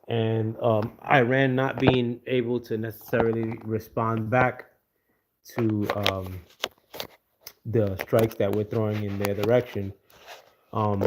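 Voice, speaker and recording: A man from America talking through current events on a livestream in a lowish voice. He speaks haltingly and fills gaps with "uh" and "um". Now and then he breaks off to greet viewers by name. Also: noisy background. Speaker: livestream host. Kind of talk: casual political commentary.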